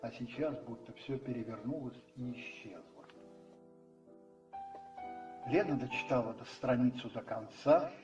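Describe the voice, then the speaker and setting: A man narrating a radio play dramatically from a script, in measured phrases with pauses. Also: medium pitch, lo-fi sound. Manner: measured, pausing between phrases. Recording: roomy echo, distant, faint music behind.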